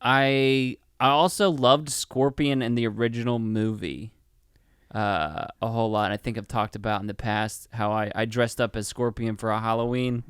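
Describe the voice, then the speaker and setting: A man's voice: medium pitch, fairly even intonation, normal speed, a bit bright, smooth, dry recording, studio quality. A man hosting a movie podcast in casual chat, joking and swearing, with the odd mock-announcer flourish. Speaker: podcast host.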